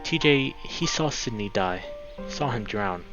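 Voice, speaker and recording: A man's voice: lowish voice, animated, unhurried. A man voicing a hesitant, earnest young male wolf, a brotherly visual novel character weighed down by guilt. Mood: earnest, pained. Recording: slightly dull mic, music behind.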